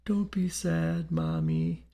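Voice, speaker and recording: A man's voice: on the high side, fairly even intonation, takes it slowly, vocal fry, dark tone, voice pushed up, exaggerated voice. A man voicing a frail young boy's ghost in an audiobook, with a wavering, comforting way of speaking. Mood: gentle, comforting.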